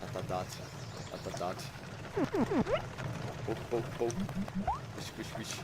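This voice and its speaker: A man's voice: sits low, fairly even intonation, takes it slowly, heavy background noise. A man streamer casually reading a visual novel aloud, slipping in jokey asides. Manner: jokey asides, reads punctuation out as sound words. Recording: music behind the voice. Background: game music.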